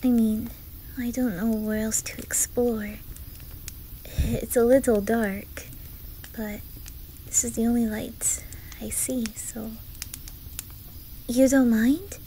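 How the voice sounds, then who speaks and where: Woman doing a shy little boy w/ swedish accent, asmr roleplay, super slow n soft, often whispering, stammers a bit. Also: on the high side, steady tone, a little hiss or hum, rough recording. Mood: shy, gentle. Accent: attempted Swedish. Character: shy, curious nine-year-old Swedish village boy.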